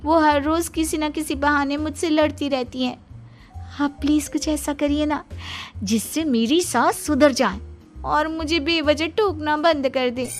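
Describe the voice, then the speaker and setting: A woman voicing an earnest young daughter-in-law who is eager to please, in an animated moral story. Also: high-pitched, unhurried, faint music behind, pitched above the performer's own voice. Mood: pleading. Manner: pleading, earnest.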